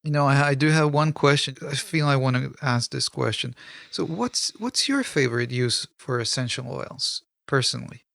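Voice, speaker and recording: A man's voice: mid-range voice, lively intonation, normal speed, gravelly, fairly bright tone, dry recording, clean studio sound. A man hosting a podcast interview, putting questions in a casual, conversational way. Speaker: podcast host.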